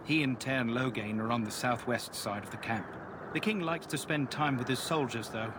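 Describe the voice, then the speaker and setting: Over clearly noisy audio, a man acting as a plainspoken fantasy game soldier talks in a mid-pitched voice. He is colloquial and chatty, with a wry grumble, like a guard who has seen the whole camp. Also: moderate pace, natural voice. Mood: grumbling. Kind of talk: video game dialogue.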